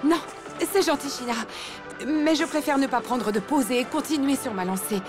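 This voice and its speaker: High-voiced, flustered cartoon elephant mum. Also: female voice, animated, moderate pace. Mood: reluctant, strained. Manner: hesitant, courteous. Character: polite, reluctant mother elephant, easily flustered. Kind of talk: children's cartoon dubbing. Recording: loud music behind the voice.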